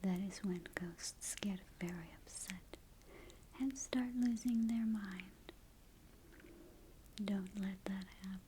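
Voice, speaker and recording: Woman doing a ghost guide for an asmr roleplay, breathy whisper, really slow n deliberate, noisy recording. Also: mid-range voice, fairly even intonation, close to an everyday voice. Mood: hushed, cautioning. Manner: slow, hushed, deliberate instructions. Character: ghost guide briefing a newcomer to the afterlife. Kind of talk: soft-spoken ASMR roleplay.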